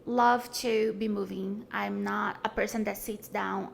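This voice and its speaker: A woman's voice: medium pitch, fairly even intonation, measured pace, gravelly. A woman, talking earnestly and frankly as a podcast guest, with many fillers and restarts. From Brazil. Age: 40s. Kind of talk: casual conversation.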